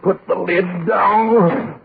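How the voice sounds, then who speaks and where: A man's voice: high-pitched, fairly even intonation, drawn-out words, creaky, full character voice. Panicky businessman, old noisy radio. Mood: frightened muttering. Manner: agitated, blurting short broken phrases. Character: cowardly guilty newspaper publisher, terrified and pleading. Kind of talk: 1940s radio horror acting. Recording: noisy narrow 1940s radio recording.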